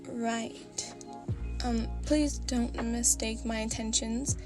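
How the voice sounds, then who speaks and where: A cool, expressionless young assassin speaks in an even, unbothered tone, as a woman reads him in a fan story. The voice stays fairly high and soft, with music playing behind it. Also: takes it slowly. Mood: deadpan. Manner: flat, blank, unbothered delivery. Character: deadpan, aloof young male assassin.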